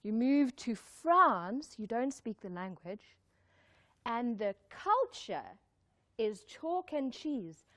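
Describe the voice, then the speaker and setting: A woman's voice: on the high side, sing-song, slow, a bit bright. A woman giving a live motivational talk, speaking emphatically, repeating key lines and putting questions to her listeners. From born in Zimbabwe, raised in South Africa. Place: live talk before an audience.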